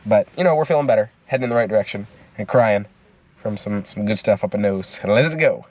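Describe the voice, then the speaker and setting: A man's voice: lowish voice, a little fast, a little mellow, rough recording. A man with a stuffy nose, talking in an upbeat, joking way in a casual video diary. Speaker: vlogger. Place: inside a car. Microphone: phone mounted on the steering wheel.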